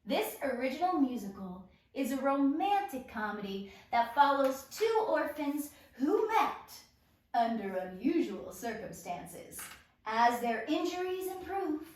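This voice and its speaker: A woman leads a script table read as narrator, announcing roles and stage directions with deliberate emphasis. Her voice is on the high side and unhurried. The recording is heavily reverberant, with the mic some way off. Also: very animated. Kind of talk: reading stage directions and character list aloud. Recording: very echoey room, distant mic.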